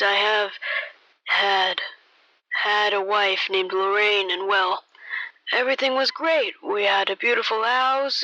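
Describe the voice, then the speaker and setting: A young amateur performer playing a haunted, weary ex-policeman, telling the story in a measured, brooding way with dramatic pauses in a radio play. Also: mid-range voice, sharp tone, dry recording, highs cut off. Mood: wistful, eerie. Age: young adult. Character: haunted, weary ex-policeman grieving his vanished wife.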